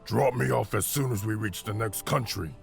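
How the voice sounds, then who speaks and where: Man acting a half-ogre brute, deep, gravelly, grumbling, proud, fantasy audiobook, music underneath. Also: moderate pace. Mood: gruff, impatient. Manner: grumbling, grunting, blunt. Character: huge gruff proud half-ogre warrior, ungrateful and surly.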